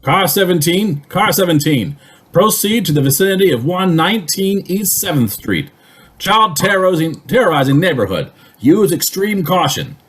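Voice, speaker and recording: A man's voice: high voice, sing-song, normal speed, a bit bright, husky, exaggerated voice. A man playing an urgent police radio dispatcher in a radio play, making clipped, official announcements.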